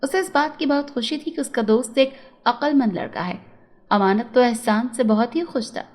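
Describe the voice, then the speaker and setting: A woman's voice: on the high side, normal speed, darkish tone. A woman storyteller narrating a scripted moral tale.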